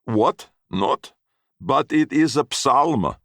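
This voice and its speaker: A man doing a pompous, halting old immigrant. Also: lowish voice, very animated, creaky, no room sound, studio quality, pitched above the performer's own voice, full character voice. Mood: declaiming. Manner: broken word order, declaiming, heavy slow emphasis.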